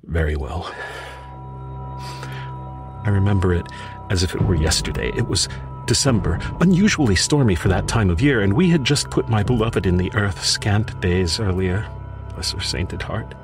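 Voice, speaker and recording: An actor playing a grief-stricken, bookish widower who mutters anxiously to himself in a theatrical old-time radio horror monologue. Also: male voice, deep voice, moderate pace. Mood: mournful, weary. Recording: music under the voice. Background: prominent music.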